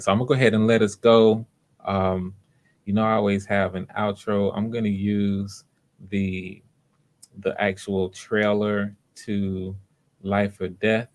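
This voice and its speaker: A man with a fairly low voice hosts a casual livestream. His pitch stays fairly flat and steady as he chats with viewers. He comes across as encouraging and appreciative. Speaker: livestream host.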